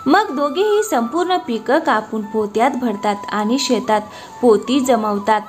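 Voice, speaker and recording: A woman's voice: on the high side, expressive, measured pace. A woman narrator reading a scripted cartoon moral story.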